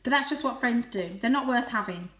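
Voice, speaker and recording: A woman's voice: a little high, fairly quick, fairly bright tone, reverberant, pitched above the performer's own voice, close to an everyday voice. A woman voicing a guarded female street cat in a storybook, with a curt, scornful and snappish manner. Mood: bitter, guarded.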